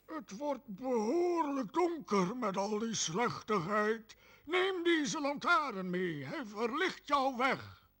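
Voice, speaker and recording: An actor playing a cartoon helper who gives guidance in a theatrical video game dub. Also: male voice, extremely high pitch, sing-song, slow pace, fairly bright tone, heavily acted. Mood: solemn warning.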